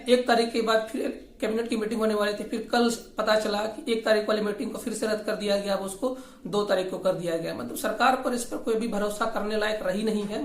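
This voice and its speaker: A woman hosts informal political commentary, speaking critically and argumentatively and often repeating her points. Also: medium pitch. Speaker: host of a regional affairs channel. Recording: echoey, roomy voice recording.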